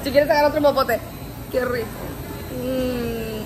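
A woman's voice: high-pitched, steady tone, unhurried, very noisy. A young woman chatting casually to a phone at arm's length, playful and teasing, dropping English words into her talk. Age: young adult. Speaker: vlogger. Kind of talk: casual family vlog chat. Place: malls, restaurant and street. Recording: busy public spaces, music and crowd behind.

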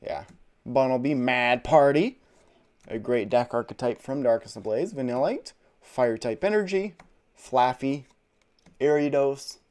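A man in his thirties hosting a live card-opening stream indoors, talking in a low-key, dry way with mumbled asides. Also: lively intonation, a little slow, darkish tone. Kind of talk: casual live-stream chat. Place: indoor room full of card products.

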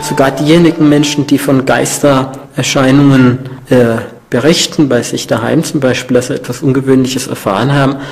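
A man's voice: medium pitch, fairly even intonation, moderate pace, gravelly, hardly any pauses, a little mellow, faint music behind. A man speaking as an interviewed social scientist, explaining in an academic, reflective way.

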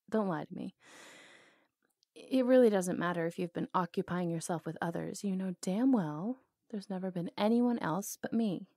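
A woman's voice: mid-range voice, warm tone, no room sound, clean studio sound. A woman playing a bold, lovelorn outlaw cowgirl in an intimate ASMR roleplay, sardonic and flirtatious, drawing words out. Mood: urgent, pleading.

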